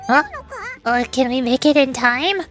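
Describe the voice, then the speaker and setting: Woman voicing a high, chirpy little fairy companion. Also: unhurried, fairly bright tone. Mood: nervous, playful. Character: small, childlike, excitable female fairy travel companion. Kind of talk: animated voice-over of video game dialogue. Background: music.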